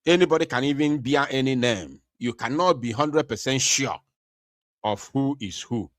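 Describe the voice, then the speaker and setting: A man hosts a call-in talk show from his computer, his mid-pitched voice dry and close with almost no room sound. He presses his points earnestly, circling back to repeat them and pausing on fillers as he gathers his thoughts. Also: dry recording. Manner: earnest, repeats himself, many hesitations. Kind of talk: live online talk show commentary. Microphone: computer mic over internet call.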